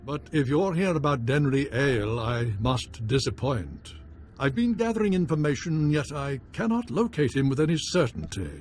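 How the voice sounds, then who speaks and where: A man's voice: medium pitch, sing-song, normal speed, darkish tone, soft background music, natural voice. An actor playing a pompous, cautious nobleman in a video game, formal and dismissive in manner. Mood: haughty, guarded. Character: haughty, cautious nobleman baron, dismissive husband. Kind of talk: scripted video game acting.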